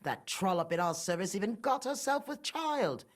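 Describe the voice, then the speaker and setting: A fanatical, haughty pious mother, wildly expressive. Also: mid-range voice, normal speed. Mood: cold contempt. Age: middle-aged. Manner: sanctimonious, scornful, defiant. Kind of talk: dramatic video game acting.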